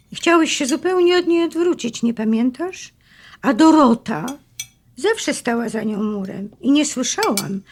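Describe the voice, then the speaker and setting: Forthright, higher-voiced woman friend. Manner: direct, questioning. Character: forthright woman friend who challenges men with pointed questions. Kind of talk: naturalistic audio drama dialogue. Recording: faint noise with tableware clinks.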